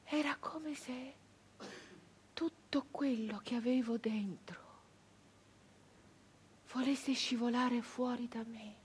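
An actress playing a grieving, traumatized 19th-century peasant woman in a theatrical radio monologue, halting and intense, with breathy speech that can sink toward a whisper. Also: a little high, steady tone, heavily acted. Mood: horrified, faltering. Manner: halting, intense, repeating phrases obsessively.

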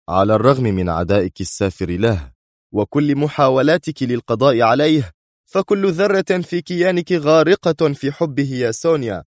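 A sneering male spy as voiced by a man narrating in a thriller audiobook. He is tense and forceful, with a mocking, accusing edge. The recording is close and dry. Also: low-pitched, no room sound.

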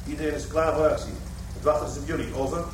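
An actor playing a tense police adjutant in a radio crime drama, giving clipped calls and orders. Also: male voice, fairly high pitch, level tone, quick pace, natural voice. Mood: tense, businesslike. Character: tense male police adjutant commanding an arrest team. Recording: roomy, distant, noisy garage scene.

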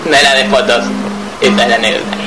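Boastful Argentine male caller, played by a man for radio comedy: florid, effusive, pompous, over loud guitar backing. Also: mid-range voice, steady tone, fast talker, natural voice, rough recording. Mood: boastful, eager. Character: effusive, boastful male phone-in friend, rambling pompous praise. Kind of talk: improvised radio comedy sketch.

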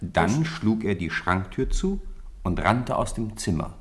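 A middle-aged man calmly narrating a radio drama in a studio, with measured, gently solemn delivery. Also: fairly low pitch, breathy edge. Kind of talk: scripted storybook narration.

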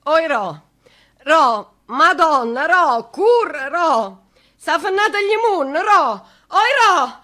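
A woman's voice: very high-pitched, animated, drawn-out words, bright, forceful tone, pitched above the performer's own voice, heavily acted. An actress playing a desperate, grieving mother in a radio drama, pleading repetitively in wailing bursts with a southern Italian accent. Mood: frantic wailing. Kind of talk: theatrical radio monologue.

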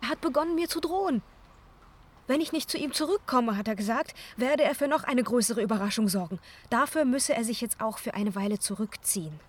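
Actress as a frightened, high-voiced young opera singer. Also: female voice, a little fast, close to an everyday voice. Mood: fearful, torn. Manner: breathy hushed urgency, breaking into sharp commands. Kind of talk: German radio play acting.

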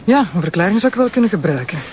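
An actress playing a sharp, teasing female deputy prosecutor in a crime drama, with a Flemish accent and a sardonic, animated manner. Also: mid-range voice, rapid-fire, background noise, natural voice. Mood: curious, wry. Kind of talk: naturalistic crime drama acting.

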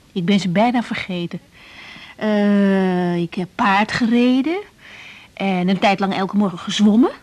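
An actress playing a young woman doctor in a radio drama, earnest and a little hesitant, with a slightly husky voice. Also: mid-range voice, slow, long pauses, natural voice. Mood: polite, hesitant. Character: young female doctor, earnest, unsure but quietly assertive. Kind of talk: naturalistic Dutch radio drama dialogue.

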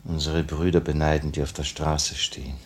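An actor playing a nervous, romantic leader of a revolutionary cell in a 1950 radio drama, with theatrical delivery. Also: male voice, extremely low pitch, little pitch movement, moderate pace. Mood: brooding. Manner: theatrical, agitated, brooding. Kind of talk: 1950 German-language radio drama acting. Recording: old 1950 radio recording.

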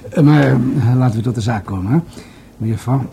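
An actor playing a courteous older police commissioner in a radio play, polite, hesitant and a little old-fashioned. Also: male voice, medium pitch, moderate pace, gravelly, dark tone, slight background noise, lightly acted. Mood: businesslike.

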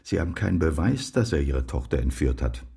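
Blunt seasoned male investigator, radio drama; very deep, gravelly, matter-of-fact. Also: expressive, fairly quick, dark tone, full character voice. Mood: sober, wry.

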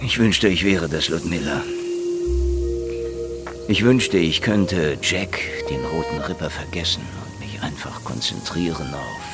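A man's voice: very deep voice, measured pace, over loud music. A man playing a cunning, hard-drinking old private detective in a hard-boiled radio drama, taunting and wordy in his wisecracks. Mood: weary, wry. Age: older.

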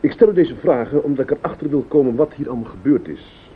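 An actor playing a composed private detective who questions politely and methodically in a radio play. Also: male voice, very animated, fast talker, close to an everyday voice. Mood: measured, probing. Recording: tinny old radio recording, hiss, rumble.

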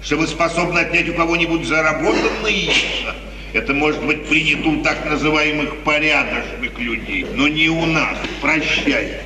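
Sly menacing bandit played by an actor, echoey. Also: male voice, on the high side, normal speed, close to an everyday voice. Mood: scornful. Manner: insinuating, theatrical. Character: menacing, sly outlaw bandit, sharp-featured killer. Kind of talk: 1950s Russian radio theatre acting. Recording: old echoey radio play, distant, noisy.

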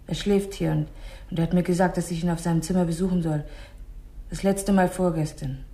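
An actress as a guarded young waitress, roomy sound. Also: female voice, fairly low pitch, fairly even intonation, brisk, mellow, natural voice. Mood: subdued, confiding. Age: young adult. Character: meek, guarded waitress of twenty-seven. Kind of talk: 1960s German radio crime drama acting. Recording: roomy early-1960s radio studio recording.

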